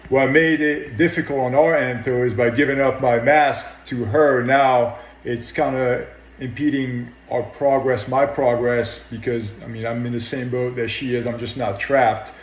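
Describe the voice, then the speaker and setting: Man, mid-pitched voice, echoey room, firefighter being interviewed, matter-of-fact, unassuming. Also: steady tone, measured pace. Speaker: firefighter officer. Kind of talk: interview account of an incident.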